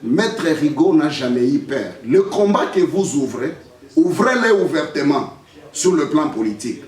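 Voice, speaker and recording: A man's voice: a little high, sing-song, a little slow. A young man with a Congolese French accent giving an emphatic, defiant public statement, repeating phrases for effect. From Democratic Republic of the Congo. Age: young adult. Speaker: lawyer and political candidate. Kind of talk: press statement answering accusations. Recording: echoey room, distant microphone.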